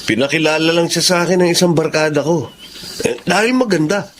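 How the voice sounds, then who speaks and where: A man's voice: a little high, brisk, fairly bright tone, slight background noise, natural voice. A man playing an easygoing, playful married younger brother in a radio drama. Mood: defensive. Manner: laid-back, laughing, casual.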